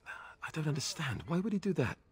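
Earnest young knightly warrior, husky, hesitant and soft-spoken, animated pitch, fantasy game scene. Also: male voice, fairly quick, exaggerated voice. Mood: moved, bewildered. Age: young adult. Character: earnest young armored warrior, quietly moved. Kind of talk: video game dramatic acting.

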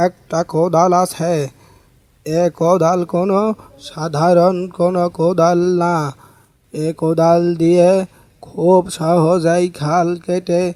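A man playing a kindly, secretive village uncle in a cartoon fairy tale, speaking in a measured, instructive way. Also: sits high, a little mellow, no room sound. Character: kindly village uncle, secretive, wise keeper of a magic spade. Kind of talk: animated fairy-tale dialogue.

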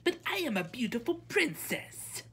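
A woman's voice: high voice, very animated, normal speed, a bit bright, slight background noise, voice pushed up. A woman voicing a proud, petulant young princess in a storybook, spoiled and indignant in manner. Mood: haughty, demanding.

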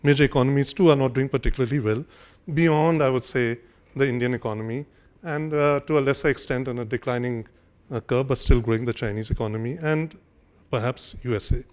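An older man giving a formal speech at a podium on a conference hall stage, measured and hesitant, with frequent fillers and restarts. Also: medium pitch, darkish tone. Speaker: conference speaker. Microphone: podium microphone.

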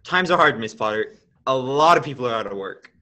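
Casting: young man as decent, weary family man, medium pitch with a bright edge, radio play. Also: expressive, brisk. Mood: pleading. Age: young adult. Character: tired, principled, kindly father and loan manager.

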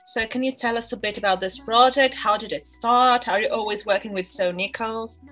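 A woman co-hosting over a video call, asking questions in a relaxed, plain way. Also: fairly high pitch, normal speed. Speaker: co-host, interpreter. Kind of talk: casual interview questions.

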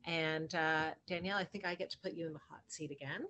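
Low-voiced woman hosting online. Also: fairly even intonation, fast talker, sharp tone. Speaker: panel co-host. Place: home room, on a video call. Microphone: video call microphone. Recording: faint background noise on video call.

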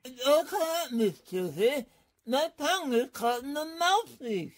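A flustered college professor played by a woman in a radio comedy. The voice is husky and swings widely in pitch. The delivery is slow, dithering and bumbling. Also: very animated, heavily acted. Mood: exasperated. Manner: hesitant, dithering. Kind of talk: old-time radio comedy acting.

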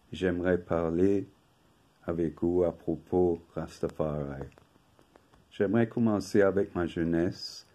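An older man, native English speaker from England, reading a prepared talk from paper in a slow, measured way at home. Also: low-pitched, dark tone. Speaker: video host. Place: home room with bookshelf.

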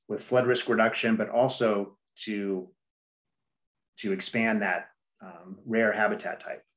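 Man presenting slides over a video call. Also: fairly low pitch. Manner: measured, explanatory, frequent "um" and "you know". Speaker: district staff presenter. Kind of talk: slide presentation at a public meeting.

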